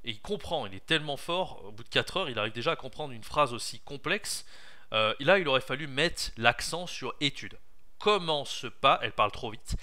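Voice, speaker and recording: A man with a bright, forward voice gives running commentary on recorded lessons. He is emphatic and animated in his critique, and his voice jumps up when he makes a point. His pitch sits in an ordinary middle range. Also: fairly quick. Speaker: French teacher reviewing lesson clips. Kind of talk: commentary on video clips.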